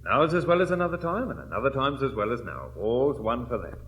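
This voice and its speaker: An actor playing a bashful, blustering country squire in a period comedy, rambling and repeating himself in an English accent. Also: male voice, medium pitch, sing-song, brisk, fairly bright tone, slight background noise. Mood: blustering, embarrassed. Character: bashful, blustering, good-natured country squire, clumsy suitor. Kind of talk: classic stage comedy acting.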